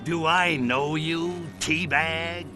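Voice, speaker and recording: An actor playing a cocky, irritable alien invader in a video game, sarcastic and brash, full of sneering wisecracks about humans. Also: male voice, fairly high pitch, fairly even intonation, slow, over music. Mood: wary, brash. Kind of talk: video game cutscene voice acting.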